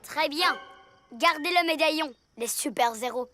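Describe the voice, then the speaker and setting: A boastful boy ninja villain from a French cartoon dub, scheming and grumpy. The voice is childlike-high with a sulky, menacing delivery, over loud music. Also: measured pace, no room sound. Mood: sulky, threatening.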